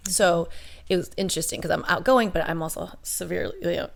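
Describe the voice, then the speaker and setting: Low gravelly-voiced woman co-hosting a show. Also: quick pace. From America. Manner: bubbly, laughs often. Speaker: podcast co-host. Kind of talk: casual chat.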